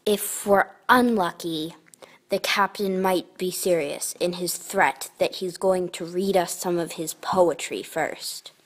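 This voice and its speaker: A woman playing a wry, quick-thinking captive space traveller with a dry, hesitant manner in a sci-fi comedy. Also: fairly low pitch. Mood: wry foreboding. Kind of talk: sci-fi comedy audio drama.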